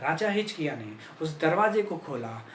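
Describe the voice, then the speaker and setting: A man's voice: a little high, very animated, normal speed, echoey room, a little hiss or hum. A man preaching a sermon with fervent, repetitive emphasis that rises and falls.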